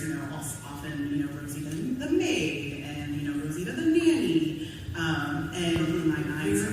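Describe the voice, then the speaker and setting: A woman panelist giving candid, informal personal commentary at a convention panel, talking in a halting way with asides. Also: low voice. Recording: echoey hall, distant mic, clear background noise.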